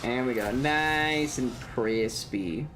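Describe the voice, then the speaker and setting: A man's voice: medium pitch, slow pace, over music. A man streaming a video game with casual live commentary, in a playful, sarcastic and theatrical manner. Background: video game ambience.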